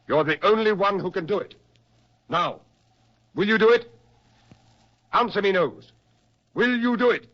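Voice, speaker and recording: Stern, commanding doctor on muffled old radio. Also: male voice, sits high, normal speed, pauses often, a bit bright. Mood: demanding. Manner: commanding, pressing. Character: stern, insistent male doctor pushing his frightened patient. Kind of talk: 1940s radio drama acting. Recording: dull, lo-fi 1940s radio recording.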